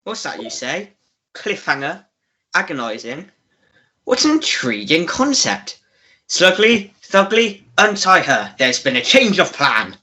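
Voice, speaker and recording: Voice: mid-range voice, very animated, pushed and bright, slightly rough, heavily acted. An actor playing a gloating, scheming male villain in a theatrical radio melodrama. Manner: slow, gloating, theatrical delivery. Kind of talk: old-fashioned radio melodrama acting.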